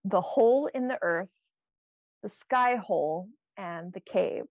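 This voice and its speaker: A woman's voice: mid-range voice, expressive, slow, darkish tone, no echo. A woman co-hosting a podcast, reading out quiz items and musing encouragingly in a casual way, on slightly muffled audio. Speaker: podcast co-host, quiz reader.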